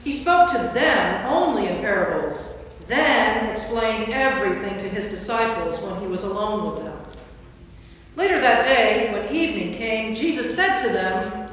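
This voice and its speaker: A woman's voice: mid-range voice, animated, a little slow, fairly bright tone, slight background noise. A woman narrating a staged scripture reading in a church, telling the story from a script. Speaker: narrator, priest. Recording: distant mic, very echoey church hall.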